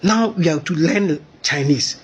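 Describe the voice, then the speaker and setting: A man's voice: fairly high pitch, a little slow, slightly rough. A Ghanaian man with a Ghanaian accent giving an impassioned commentary monologue, mixing Twi and English.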